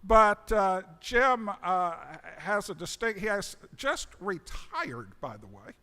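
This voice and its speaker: A man moderating a conference panel from a podium in a museum auditorium. He speaks in a joking, halting way with many uhs. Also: high voice, big pitch swings, pushed and bright. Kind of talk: folksy conference introduction. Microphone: podium microphone. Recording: long hall reverb.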